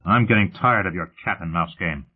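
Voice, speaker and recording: A man's voice: low-pitched, normal speed, slightly rough. A man voicing a sullen, crude young hothead who talks in a curt, surly way. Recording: muffled phone-like narrow sound.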